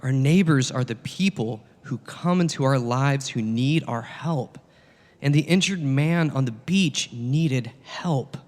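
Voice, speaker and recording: A long reverb surrounds a man acting as a patient male holy teacher in a satirical audio drama. He speaks at a middle pitch, solemn and deliberate, telling his parables earnestly. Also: a little mellow, lightly acted. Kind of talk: satirical dramatic reading, measured storytelling. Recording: long reverberant hall echo.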